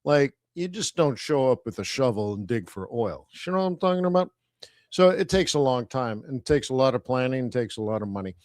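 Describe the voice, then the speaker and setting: A man's voice: medium pitch, big pitch swings, fairly quick, a little mellow, no room sound, clean studio sound. A middle-aged Canadian man hosting a live stream, chatting casually and throwing rhetorical questions at his viewers. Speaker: host of a live trading stream.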